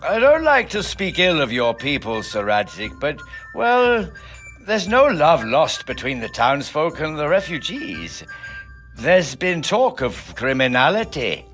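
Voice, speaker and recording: A man's voice: a little high, wildly swooping pitch, measured pace, a bit bright, faint music behind, full character voice. A man playing an earnest, deferential priest in a video game, with a hesitant, respectful and worried manner. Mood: reluctant. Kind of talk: video game cutscene acting.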